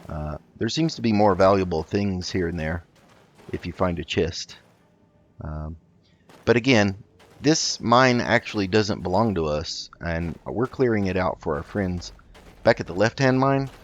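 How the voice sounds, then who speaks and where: A man doing casual game commentary, thinking aloud in an unhurried, musing way as he roleplays. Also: low-pitched, expressive, muffled recording. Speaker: gaming host.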